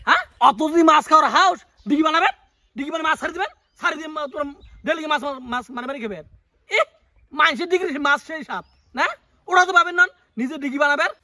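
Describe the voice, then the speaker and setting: Man playing a shrill, exasperated village pond owner. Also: bright, forceful tone, dry recording, exaggerated voice. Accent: northern Bengali regional. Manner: scolding, aggrieved, repetitive. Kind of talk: amateur comedy skit acting. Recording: clearly noisy amateur video sound.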